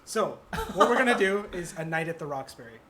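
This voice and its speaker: A man on a panel casually pitching his ideas in an eager, enthusiastic way. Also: sing-song, a little fast, a loud crowd. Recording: faint background noise.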